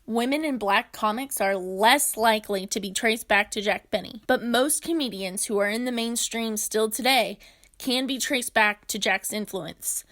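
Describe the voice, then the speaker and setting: Higher-pitched woman presenting scripted narration. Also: moderate pace, fairly bright tone. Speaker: presenter of a video essay.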